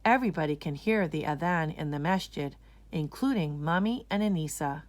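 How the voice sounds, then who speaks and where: A woman's voice: deep voice, expressive, normal speed, no room sound. A woman narrating a children's storybook as a read-aloud.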